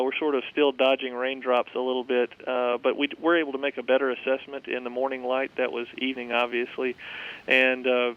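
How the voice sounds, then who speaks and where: A man interviewed live over a muffled, band-limited phone line. His voice is smooth and clear with very little pitch movement, and he explains things in a measured, halting way. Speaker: head of school, phone interviewee. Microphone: telephone.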